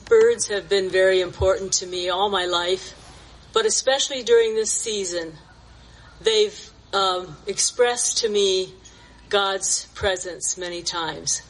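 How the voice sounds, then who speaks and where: A woman in her seventies at an outdoor church gathering, sharing a reflection and reading a poem in a gentle, measured way. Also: mid-range voice, rough recording. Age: 70s.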